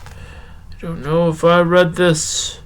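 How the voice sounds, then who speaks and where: A man playing a slow, earnest poetry show host with a drawn-out, halting delivery. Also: fairly high pitch, completely flat delivery, slight background noise, pitched above the performer's own voice. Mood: slow, rambling. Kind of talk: halting poetry recital and rambling podcast talk.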